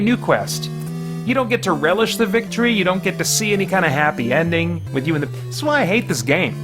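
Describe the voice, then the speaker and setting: Male game and devotional host, slightly high pitch, conversational and self-talking, light music underneath. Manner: talks to himself while playing. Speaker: host of a gaming and faith channel. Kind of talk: casual gameplay commentary and devotional talk.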